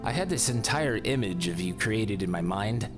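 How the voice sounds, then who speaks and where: A man playing a florid, flattering young lord. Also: moderate pace, natural voice. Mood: awestruck. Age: 30s. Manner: florid, swooping and courtly, sinking low and brooding when upset. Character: vain flattering young male lord, theatrical and bitter. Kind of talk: audio drama acting. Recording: music bed under the voice.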